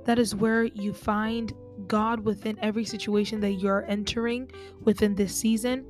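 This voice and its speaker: Woman host, casual and chatty, speaking to listeners over soft music. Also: medium pitch, level tone, normal speed. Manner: chatty, conversational, addresses listeners directly. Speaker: podcast host. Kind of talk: casual faith talk.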